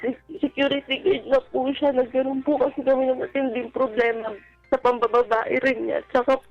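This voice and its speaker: Woman caller w/ really high voice, kinda halting n earnest, dull lo-fi line, music behind. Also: flat delivery. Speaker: caller to a radio advice show. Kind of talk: personal storytelling in a radio call. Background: background music.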